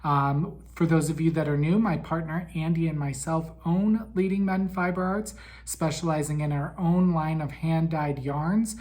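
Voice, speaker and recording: A man hosting a casual monthly podcast recap, raspy and weak in breath from a head cold, chatty with frequent ums. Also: a little high, a little mellow. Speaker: podcast host.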